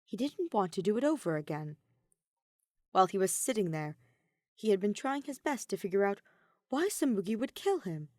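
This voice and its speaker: A woman reading scripted fiction as an expressive audiobook narrator. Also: medium pitch, normal speed, long pauses, dry recording, clean studio sound. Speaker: podfic narrator.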